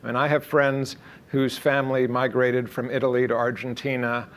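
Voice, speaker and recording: A man on a discussion panel, offering measured, reflective comments. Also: medium pitch, level tone, fairly bright tone.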